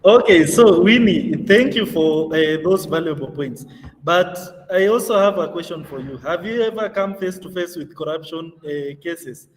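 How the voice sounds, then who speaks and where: A man's voice: fairly high pitch, moderate pace, in a large hall, distant mic, a little hiss or hum. A man moderating an online youth training session, talking conversationally with plenty of fillers. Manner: conversational, many fillers, laughs easily.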